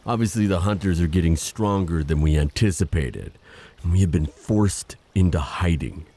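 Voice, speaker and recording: An actor playing a solemn, dutiful undersea prince in an audio drama, speaking formally and gravely in measured phrases. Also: male voice, expressive. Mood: grave, sorrowful. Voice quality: very deep, dark. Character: solemn, dutiful undersea prince guarding his hunted people.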